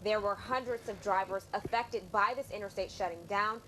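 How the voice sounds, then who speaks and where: A woman reporting live on traffic from beside a highway, explaining steadily what viewers are seeing. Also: steady tone, normal speed, fairly bright tone, poor audio.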